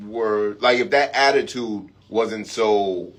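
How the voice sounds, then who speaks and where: A man with a New York accent and a husky voice, chatting casually on a radio panel. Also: on the low side, slow. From the Bronx, New York.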